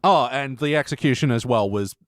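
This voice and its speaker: A man's voice: mid-range voice, a little fast, dry recording, clean studio sound. A man co-hosting a podcast in casual review chat, amused and given to wry asides. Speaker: podcast co-host.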